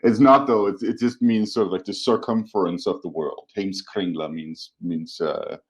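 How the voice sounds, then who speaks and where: A man talking as an academic podcast co-host, explaining things informally in a halting, thoughtful way. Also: sits low, steady tone.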